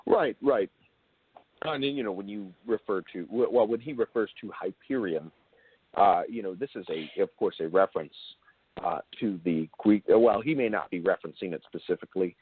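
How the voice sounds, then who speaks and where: A man's voice: on the low side, normal speed, slightly rough, dry recording. A man hosting an interview in casual talk, with hesitant, stop-and-start phrasing. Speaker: interview host. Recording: tinny, band-limited phone-like audio.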